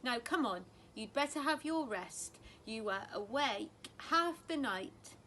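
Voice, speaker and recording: A woman reading a storybook, voicing a tired, firm mother barn owl who speaks briskly. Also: a little high, lively intonation, pushed and bright, a little hiss or hum, heavily acted.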